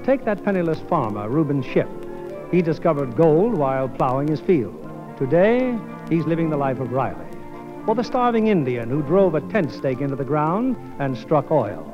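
A muffled old radio recording with some music behind. A male host speaks in a polished, theatrical 1950s style with very lively intonation. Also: on the high side, moderate pace. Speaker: radio show host and producer. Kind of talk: formal 1950s radio hosting, scripted narration.

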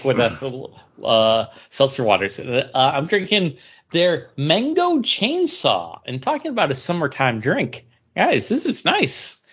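A man's voice: on the high side, wildly swooping pitch, measured pace, fairly bright tone, husky. A man co-hosting a podcast in a casual, conversational style. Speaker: podcast co-host. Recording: dull, lo-fi podcast audio.

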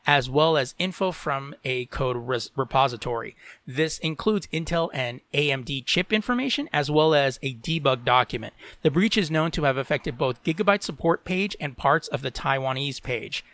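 A man's voice: fairly high pitch, bright, forceful tone, no echo. A podcast host from Latin America, a man who reads tech and entertainment news aloud and breaks off into opinionated commentary peppered with "you know".

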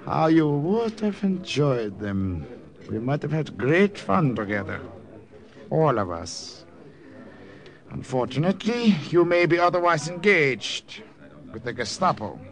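An actor playing a suspicious, falsely jovial German army captain in a radio drama, with a German accent and sly, menacing politeness. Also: male voice, fairly high pitch, very animated, unhurried. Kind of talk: old-time radio drama acting.

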